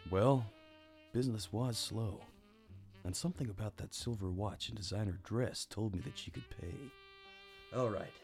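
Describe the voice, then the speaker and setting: An actor playing a world-weary, hardboiled private detective, narrating a noir radio play in a dry, wry, brooding way. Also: male voice, on the low side, measured pace, a little mellow, faint music behind.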